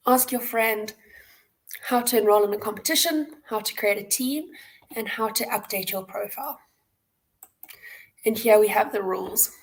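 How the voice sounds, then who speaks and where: A South African woman with a fairly high voice leads a live online tutorial. She explains each step in an informal, instructional way, as a host guiding participants through a platform. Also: poor audio. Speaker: hackathon host and presenter. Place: indoor room, on a livestream webcam.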